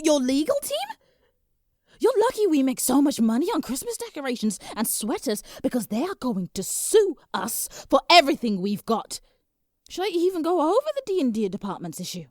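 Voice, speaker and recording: A woman's voice: sits high, darkish tone, no echo. A British woman playing an exasperated, businesslike lawyer in a scripted comedic audio drama, formal and dry. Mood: exasperated, angry. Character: exasperated, businesslike female lawyer for Santa Claus.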